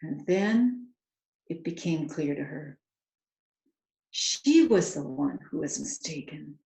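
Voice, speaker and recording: A woman narrates a storybook with earnest, dramatic feeling. She takes her time, in a slightly low voice with a gravelly creak. The room adds echo. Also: expressive, slow, dark tone. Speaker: children's author reading aloud. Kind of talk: expressive storybook read-aloud narration. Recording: roomy, echoing home recording.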